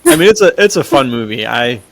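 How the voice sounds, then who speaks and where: Gravelly casual male podcast host. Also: medium pitch, sing-song, fast talker, a crowd in the background. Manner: informal, slangy. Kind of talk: casual chat.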